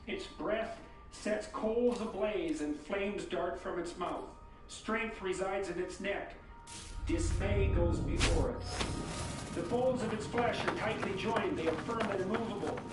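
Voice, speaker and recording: A man's voice: high voice, measured pace, over loud music. A man reading scripture aloud as part of a lecture, in a declamatory, emphatic way. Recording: very echoey, distant, very noisy playback.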